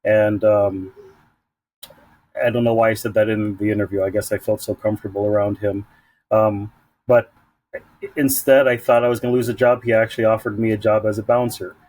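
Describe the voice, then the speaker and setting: A man from San Diego answers interview questions in a fairly low voice. He talks haltingly, full of fillers and restarts as he gathers his thoughts. The sound is dry and close, with no room echo. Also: little pitch movement, a little mellow. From San Diego, United States. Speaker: community program leader, guest. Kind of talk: conversational interview.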